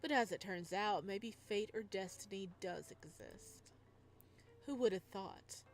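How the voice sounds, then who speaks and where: A woman's voice: medium pitch, unhurried, close to an everyday voice. Girl doing a smug sadistic stalker guy from a visual novel, condescending and taunting, music behind. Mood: smug mocking. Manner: mocking, condescending. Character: sadistic taunting male stalker killer, chipper and mocking.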